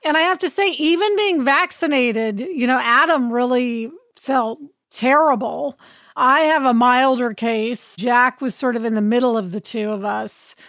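A woman with a raspy voice from illness, co-hosting a podcast in casual chat and acting out quoted lines. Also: on the high side, measured pace, a bit bright, no room sound. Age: adult with a child. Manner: chatty, acts out quoted dialogue. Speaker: podcast co-host.

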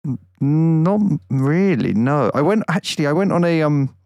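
A man's voice: fairly high pitch, lively intonation, measured pace, a little mellow, no room sound, studio quality. A man co-hosting a podcast, talking in a rambling casual way with frequent laughs. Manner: rambling, laughs often, many fillers. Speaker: podcast co-host.

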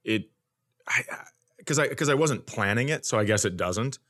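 A man sharing comic anecdotes in casual podcast chat, with a halting, wry way of talking. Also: mid-range voice, lively intonation, fairly bright tone. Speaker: comedian, podcast co-host.

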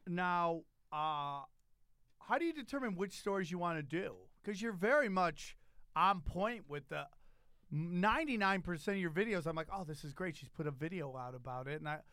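A comedian hosting a casual interview, rambling and joking loosely with some swearing. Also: male voice, sits high, lively intonation, normal speed, sharp tone, no room sound, studio quality. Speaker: podcast host, stand-up comedian.

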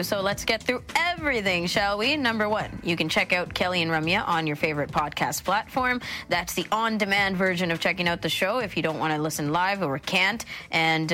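A woman's voice: mid-range voice, pushed and bright. A woman co-hosting a radio show, chatting in an informal, playful way. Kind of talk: casual chatty show talk.

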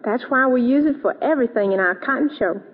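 High, husky woman in vintage radio commercial. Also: quick pace. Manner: bright, gushing enthusiasm. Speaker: guest in a radio soap commercial. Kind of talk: scripted 1940s radio advertising dialogue. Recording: tinny, reverberant old radio broadcast.

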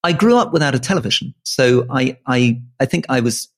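A man who grew up in Malaysia co-hosting a casual political podcast, earnest and halting, often restarting and repeating words. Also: mid-range voice, expressive. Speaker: podcast co-host.

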